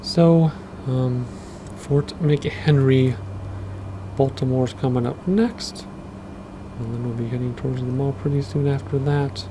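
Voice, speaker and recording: A man, rambling casually through gameplay commentary with lots of fillers, his voice a bit hoarse from losing it. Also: mid-range voice, steady tone, takes it slowly, noisy background. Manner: rambling, chatty, with many fillers. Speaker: flight sim gaming host.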